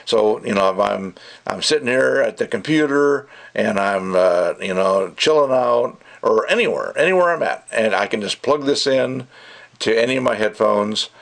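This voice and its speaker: A man speaks in a slightly low voice with plenty of gravelly creak. He rambles casually as an amateur reviewer, loose and conversational, and freely offers his opinions.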